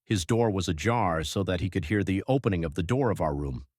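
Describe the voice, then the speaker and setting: A man playing a grave, devoted young husband who narrates his journal in an audiobook, measured and reflective. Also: sits low, lively intonation, darkish tone, husky, dry recording, studio quality. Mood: weary, reflective.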